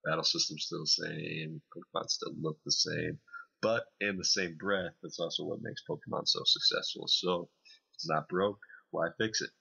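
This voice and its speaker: A man co-hosting a podcast, giving a casual video game review in a hesitant, explanatory way with many pauses. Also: sits low, vocal fry, sharp tone, husky, dull audio. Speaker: podcast co-host reviewing games.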